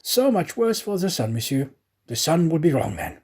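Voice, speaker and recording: Man playing a chatty, excitable, high-pitched manservant. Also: normal speed, husky. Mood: indignant. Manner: chatty, emphatic, indignant. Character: talkative, excitable, naive French manservant.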